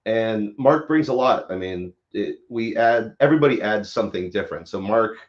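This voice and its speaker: A man's voice: lowish voice, animated, normal speed, highs cut off. A man speaking casually as an interview guest, with loose, informal phrasing and a jokey touch. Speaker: interview guest, theater director. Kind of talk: casual live interview talk.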